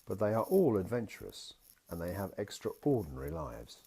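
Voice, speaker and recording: A man voicing a gentle, patient father in a picture-book story, reassuring his small daughter as he explains things. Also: low-pitched, big pitch swings, moderate pace, vocal fry, husky, heavily acted. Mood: tender.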